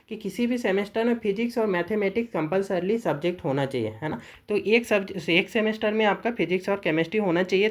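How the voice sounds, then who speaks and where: A woman's voice: on the low side, a little mellow. A woman with an Indian accent presenting an informal, instructional explainer, often checking understanding with short tag phrases. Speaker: presenter of government job notifications. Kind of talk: informal explainer of a recruitment notice.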